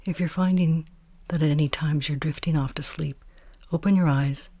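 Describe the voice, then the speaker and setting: Female meditation guide, mellow and breathy, hushed and soothing, measured delivery for a body scan. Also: low-pitched, fairly even intonation, dry recording. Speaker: meditation teacher.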